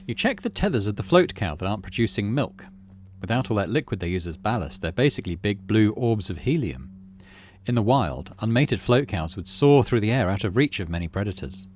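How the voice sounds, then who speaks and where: A man's voice: lowish voice, very animated, a little fast, slight background noise. A man doing let's play commentary, reading story text aloud and muttering through his decisions as he thinks aloud. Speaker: game streamer, let's play host.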